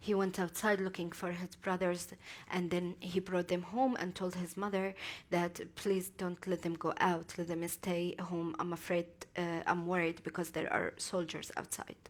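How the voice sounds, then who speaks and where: A woman's voice: lowish voice, level tone, normal speed, few pauses. A woman interpreting on a panel for a grieving mother, hesitant, with fillers and self-corrections. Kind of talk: consecutive interpreting.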